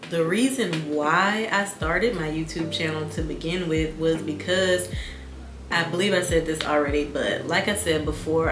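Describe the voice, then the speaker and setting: Young woman vlogger, calm and relaxed delivery, low voice, echoey room over background music. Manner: calm, soft-spoken, reflective. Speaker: YouTuber. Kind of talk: casual reflective vlog.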